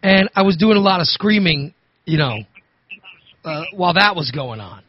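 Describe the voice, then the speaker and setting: A man hosting a talk radio show, hoarse from overusing his voice, rambling and restarting his sentences. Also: sits high, expressive, normal speed, dry recording, muffled recording. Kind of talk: casual talk radio monologue.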